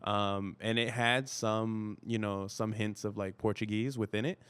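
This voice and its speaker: A man's voice: fairly low pitch, fairly even intonation, unhurried, fairly bright tone, clear, resonant tone. A man whose first language is Spanish, an actor chatting easily as a podcast guest with many "you know" fillers. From United States. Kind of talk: casual interview chat.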